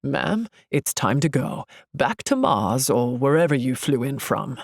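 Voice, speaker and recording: A woman voicing a surly, scornful male security guard in an audiobook, gruff, curt and sarcastic. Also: medium pitch, very animated, a little slow, no echo, clean studio sound, voice pushed down, exaggerated voice. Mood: stern, dismissive.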